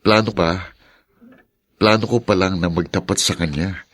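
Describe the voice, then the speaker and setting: A man playing a smitten, smooth-talking young bachelor in a Filipino audio drama, earnest and gentle. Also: low-pitched, measured pace, dry recording. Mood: smitten, relaxed.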